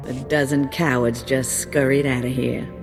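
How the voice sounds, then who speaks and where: A woman's voice: rumbling low, level tone, normal speed. A woman playing a folksy, wry bounty handler in a video game, matter-of-fact and slangy. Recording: reverberant, with background music.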